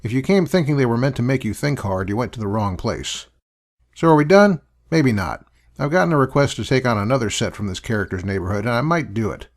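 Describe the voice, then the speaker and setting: A man's voice: medium pitch, lively intonation, brisk. Dry, dismissive male host. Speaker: host answering questions. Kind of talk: sarcastic commentary.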